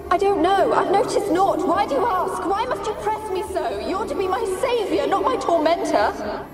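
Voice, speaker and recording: A woman's voice: very high-pitched, big pitch swings, normal speed, over loud music, over a noisy crowd. An actress playing the plaintive, fearful ghost of a young noblewoman in a video game, with archaic phrasing. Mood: anguished, pleading. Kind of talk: dramatic video game acting.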